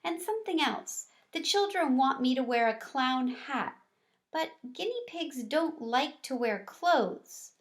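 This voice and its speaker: A woman as a timid, high-voiced guinea pig. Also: lively intonation, takes it slowly. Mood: worried. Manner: soft-spoken, questioning. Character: timid, gentle, worried female guinea pig.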